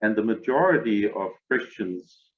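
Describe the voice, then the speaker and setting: An older man from Germany with a German accent, answering interview questions in a measured, thoughtful way and pausing often. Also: on the low side, expressive. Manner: measured, thoughtful, with frequent "uh" and "um" pauses. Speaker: guest, academy director. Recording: phone-like narrow line.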